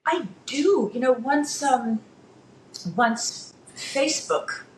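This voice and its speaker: A woman's voice: high-pitched, slow pace. A woman in her fifties whose first language is Dutch, a guest talking casually and hesitantly, with many fillers and restarts. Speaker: interview guest. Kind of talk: casual conversation. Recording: echoey room with faint background noise.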